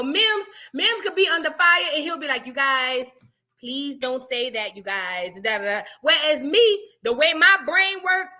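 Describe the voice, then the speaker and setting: A woman's voice: high voice, sharp tone. A woman giving blunt, questioning commentary as she reacts to a clip.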